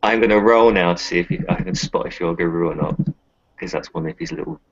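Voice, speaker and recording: A man with a very low voice talks in casual roleplay. His tone is gravelly yet husky, and the recording is dull and lo-fi. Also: fast talker, no echo. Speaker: tabletop roleplaying player.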